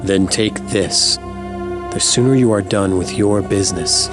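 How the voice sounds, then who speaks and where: A grave, patient sage of a hidden order in a fantasy game. He speaks low, with formal and earnest measure. Loud music runs underneath. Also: a little slow. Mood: grave advising. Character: calm, grave male member of secret order, patient mentor. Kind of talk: fantasy game cutscene dialogue.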